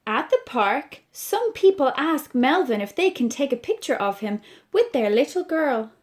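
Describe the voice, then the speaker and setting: Slow, high-voiced Irish storyteller woman. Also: very animated. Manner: slow, gentle storytelling with questions to listeners. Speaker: children's librarian. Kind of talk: reading a picture book aloud to children.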